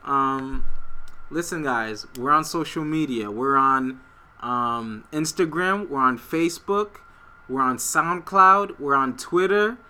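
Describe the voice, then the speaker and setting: A man co-hosts a laid-back podcast, speaking softly and agreeably. His pitch is middling for a man, and his tone is bright and clear. He talks close to a desktop microphone. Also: slow. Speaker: podcast co-host. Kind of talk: casual podcast chat. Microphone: desktop USB microphone.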